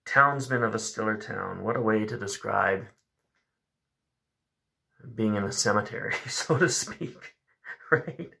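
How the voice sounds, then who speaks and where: A man's voice: on the low side, measured pace, vocal fry, long pauses, pushed and bright. A man in his thirties talking about and reading poetry in a reflective, informal way. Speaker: host of a poetry reading video. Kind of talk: poetry recitation and casual commentary. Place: small room at home with a bookshelf, indoors.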